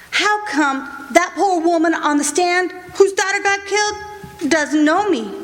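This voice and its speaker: A woman's voice: very high voice, fairly bright tone, heavily acted. A woman playing a protective, insistent mother with folksy rural speech in a courtroom radio drama. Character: defendant's plain-spoken mother, insistent and protective. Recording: echoey hall stage recording.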